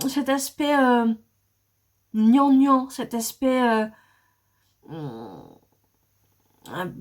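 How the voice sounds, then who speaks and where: Slow, hesitant woman chatting about books. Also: high-pitched. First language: not English. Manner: hesitant, many fillers and restarts. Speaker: manga vlogger. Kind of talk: casual book review chat.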